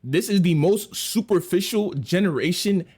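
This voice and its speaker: A man's voice: sits high, normal speed, a little hiss or hum. An 18-year-old man giving casual, slangy reaction commentary, exclamatory and peppering his talk with "bro". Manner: animated, exclamatory, repeats "bro". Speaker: reaction streamer.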